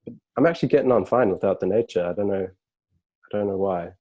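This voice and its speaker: A man chatting casually as a podcast guest over a video call, relaxed and rambling with fillers. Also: fairly low pitch, brisk, dull audio. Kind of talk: casual chat.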